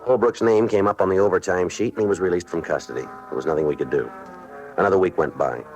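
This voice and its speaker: An old radio crime drama with some music behind it. a terse American police detective, a man with a very deep voice. He talks fast and flat, businesslike and matter-of-fact. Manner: clipped, deadpan, matter-of-fact. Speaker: lead actor and narrator. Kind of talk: 1950s radio crime drama acting and narration. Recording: vintage radio recording with music.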